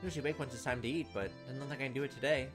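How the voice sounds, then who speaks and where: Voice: mid-range voice, steady tone, very fast. A gameplay host with a raw, scratchy throat, mixing casual, wry commentary with reading visual novel text aloud. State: sore, scratchy throat from winter weather. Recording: music behind the voice.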